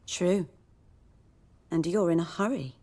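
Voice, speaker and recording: An actress playing a cool, bitter and knowing village herbalist in a fantasy game, measured and wry. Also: female voice, fairly low pitch, warm tone. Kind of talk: video game voice acting. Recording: music behind the voice. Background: music.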